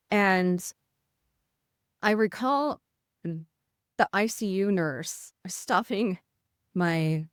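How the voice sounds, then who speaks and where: A middle-aged woman nurse with a Midwestern American accent, speaking with a voice that can sound slightly rough, telling a personal story in an interview. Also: on the low side, no echo, clean studio sound. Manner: earnest, vivid retelling with quoted inner thoughts. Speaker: nurse, podcast guest.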